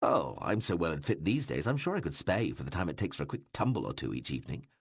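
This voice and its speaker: A male narrator voicing a bawdy, teasing king who mocks and needles those around him in a loud, playful way. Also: sits low, very animated, fast, slightly rough, no room sound, studio quality, voice pushed down, full character voice. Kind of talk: audiobook reading.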